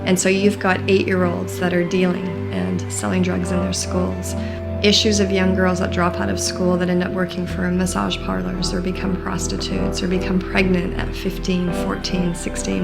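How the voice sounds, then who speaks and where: A woman's voice: on the low side, flat delivery, creaky. A woman from Canada, a rugby charity co-founder, speaking fluently and with passion about the children she works with. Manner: fluent, impassioned, measured emphasis. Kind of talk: interview and documentary testimony. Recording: loud background music.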